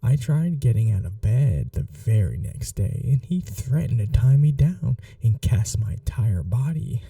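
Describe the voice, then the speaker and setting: A man playing a courteous, caring gentleman vampire in an intimate ASMR roleplay, speaking softly in an unhurried, polite, slightly old-fashioned way. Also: mid-range voice, sing-song, gravelly, dry recording, far from the mic, exaggerated voice. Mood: dryly amused.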